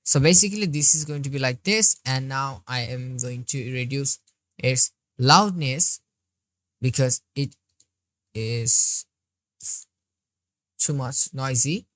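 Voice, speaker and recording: Very deep-voiced woman, slow tutorial narration. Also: no room sound. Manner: step-by-step instructing, narrating each click. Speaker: software tutorial instructor. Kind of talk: screen-recorded tutorial walkthrough.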